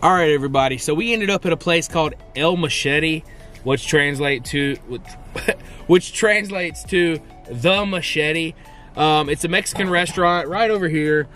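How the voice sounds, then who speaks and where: A man in his thirties with a somewhat high voice talks straight to a handheld camera, first from a parked car and then a hotel room. He tells his story in an excited, rambling way. Faint music sits underneath. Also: moderate pace. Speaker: vlogger. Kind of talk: casual vlog. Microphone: handheld camera at arm's length.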